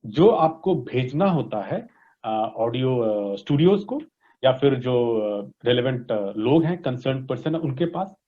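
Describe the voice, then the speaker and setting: A man's voice: medium pitch, lively intonation, unhurried. A man with an Indian accent giving explanatory interview answers, mixing in English and checking in like a teacher. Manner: didactic, frequent check-in tags. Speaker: interview guest, voice industry expert. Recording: dull, lo-fi audio.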